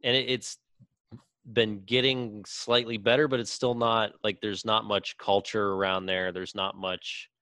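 A man's voice: fairly low pitch, flat delivery, unhurried, bright, forceful tone, no echo. A young man in a casual podcast debate, speaking as an opinionated co-host who swears freely.

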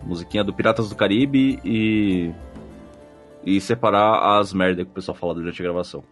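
A Brazilian man with a fairly deep voice co-hosts a relaxed podcast. He talks informally, with slang and jokes, while reading out comments. Faint music plays behind him. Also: normal speed. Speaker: podcast host. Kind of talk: casual chat, reading listener comments. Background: background music.

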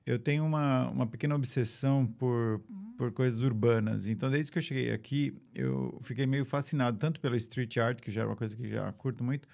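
A man's voice: mid-range voice, steady tone, a little fast, creaky, few pauses, darkish tone. A man from São Paulo, a photographer chatting as a guest, rambling with many restarts. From São Paulo, Brazil. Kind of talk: casual interview chat.

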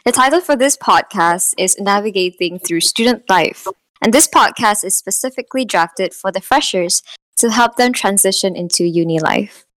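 A woman's voice: mid-range voice, lively intonation, moderate pace, fairly bright tone, no echo. A young woman co-hosting a student podcast, reading a welcoming prepared intro. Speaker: podcast co-host.

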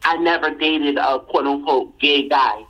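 A woman in casual conversation as a guest, who repeats her phrases and fills pauses with "you know.". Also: low voice, highs cut off.

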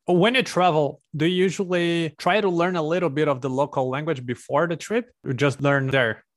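A man's voice: fairly high pitch, steady tone, normal speed. A man conducting a casual interview, asking questions hesitantly and restarting them as he goes.

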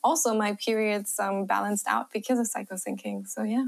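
A woman's voice: mid-range voice, a little fast. Friendly young woman with a light German accent. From Thailand and Germany. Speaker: menstrual cycle coach, guest. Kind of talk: podcast interview answers.

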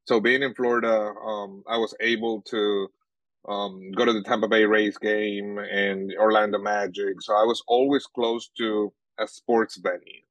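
A young Venezuelan man, a native Spanish speaker, answering interview questions about his sports journalism work, pausing often with fillers and restarts. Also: lowish voice, level tone, fairly bright tone, no echo, low-quality recording. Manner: halting, many fillers and restarts.

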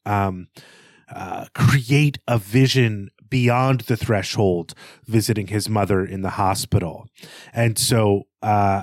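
A man co-hosting a casual, analytical film discussion, working out his thoughts aloud in a halting way. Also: medium pitch, no room sound. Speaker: podcast co-host.

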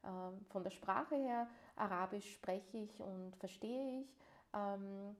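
A woman's voice: mid-range voice, slow. A young woman from Vienna, co-hosting a podcast in casual chat, agreeing often and laughing easily. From Vienna, Austria. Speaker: podcast co-host.

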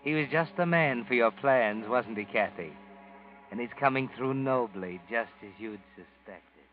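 An actor voicing a cold, all-knowing narrator who coolly mocks the killer in measured words. His mid-pitched voice comes through thin and tinny, like an old radio broadcast, with music clearly playing underneath. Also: close to an everyday voice. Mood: cool mocking. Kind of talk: 1940s radio drama narration.

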